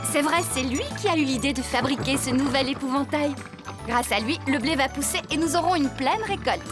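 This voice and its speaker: Casting: actress as practical, upbeat cartoon farmer woman, high voice, expressive delivery, prominent music underneath. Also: fairly quick, natural voice. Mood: delighted. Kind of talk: French children's cartoon dub acting. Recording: loud music behind the dialogue.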